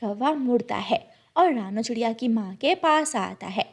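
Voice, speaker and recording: A woman storyteller narrates a children's cartoon from a script. Her voice is high, and her intonation moves in wide, lively sweeps. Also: normal speed.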